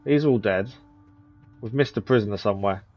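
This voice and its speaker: A man's voice: on the low side, a little fast, a little mellow, faint music behind. A man in his thirties giving live gameplay commentary, chatting with viewers and muttering at enemies as he plays. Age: 30s. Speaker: streamer.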